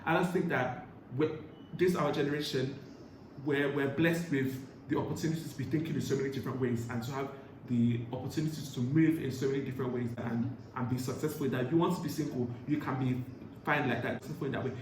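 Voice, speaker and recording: A young British man with Igbo as his first language, hosting a casual group chat, talking quickly and stumbling over repeated starts with a joking swagger. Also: medium pitch, fairly even intonation. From Britain. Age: young adult. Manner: fast, repetitive stammering starts, playful boasting. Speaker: channel host. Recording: echoey room, faint ambient noise.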